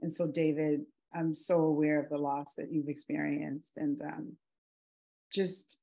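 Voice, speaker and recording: Woman, middle-aged, low pitch, sincere, conversational, video call. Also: flat delivery, unhurried, creaky, dark tone. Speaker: actor on a panel. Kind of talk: casual, sincere panel remarks.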